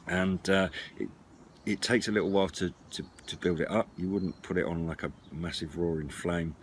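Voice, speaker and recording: British man, middle-aged, low-pitched, halting explanatory talk, informal demo, outdoor table, clearly noisy recording. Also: fairly even intonation. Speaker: outdoor gear and CB radio vlogger. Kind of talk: informal product demonstration to camera. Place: outdoors at a wooden patio table.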